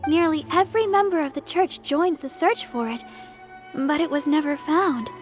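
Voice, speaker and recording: A woman voices a bubbly, earnest young church idol with a very high, bright voice. She greets everyone warmly and eagerly. Soft game music plays faintly behind. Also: normal speed, lightly acted. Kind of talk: video game voice acting.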